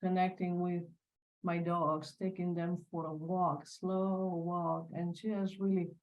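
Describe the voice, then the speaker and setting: A woman's voice: fairly low pitch, little pitch movement, takes it slowly, muffled recording. A woman speaking on a panel over a video call, reflective and searching for words as she goes. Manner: thoughtful, searching for words, repeats words.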